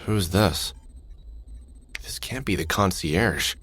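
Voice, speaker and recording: Low-voiced, weary, cynical middle-aged man played by an actor. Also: slow, fairly bright tone, husky, full character voice. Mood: puzzled, musing. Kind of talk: visual novel game voice acting, muttered observations. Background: music.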